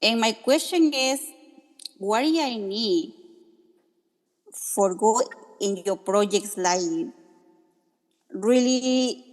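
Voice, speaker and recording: A woman from Mexico whose first language is Spanish, asking a question slowly in careful non-native English on a video call. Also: on the high side, long reverb tail, low-quality recording.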